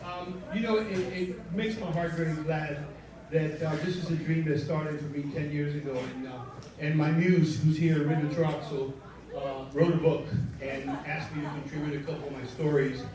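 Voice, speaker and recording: A man with a Cuban accent welcoming a live audience as producer, loose and self-deprecating, halting with fillers. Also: fairly high pitch, crowd noise. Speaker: show producer. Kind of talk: rambling welcome speech. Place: live stage before an audience. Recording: echoey room, mic from far, audience.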